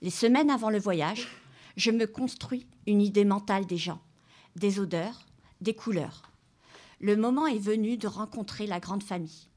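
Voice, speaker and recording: Woman reader, even and steady, straightforward literary reading. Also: mid-range voice. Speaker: reader at a book club radio show. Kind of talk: reading a literary excerpt aloud. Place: public library.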